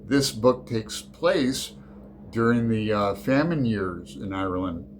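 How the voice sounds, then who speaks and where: A man's voice: on the low side, takes it slowly, a little hiss or hum. A middle-aged man hosting a casual book vlog, talking in a rambling, digressive way with fillers and self-corrections. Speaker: book channel host.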